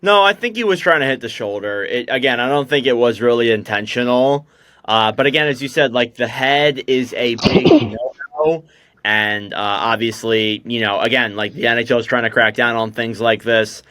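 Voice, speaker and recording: A man's voice: mid-range voice, lively intonation, moderate pace, bright, forceful tone, dry recording. A young man hosting a casual hockey podcast, chatty and loose with lots of fillers. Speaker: podcast host.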